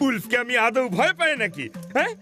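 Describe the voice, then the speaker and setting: A man's voice: extremely high pitch, big pitch swings, fast, bright, forceful tone, faint music behind, heavily acted. An actor voicing a boastful, cowardly grown man in a cartoon dub, who brags and then panics.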